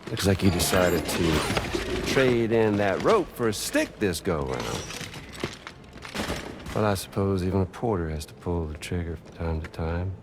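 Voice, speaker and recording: A menacing video game villain with a drawl and a fairly low voice. He taunts theatrically, swooping widely up and down in pitch, with music playing behind him. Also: lively intonation, unhurried. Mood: mocking, gleeful. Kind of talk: video game cutscene acting.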